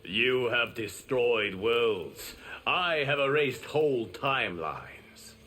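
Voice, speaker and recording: A man's voice: mid-range voice, animated, slow pace, sharp tone, slight background noise. An actor playing a powerful, righteous guardian god in a video game, earnest and formal in his speech. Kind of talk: video game character acting.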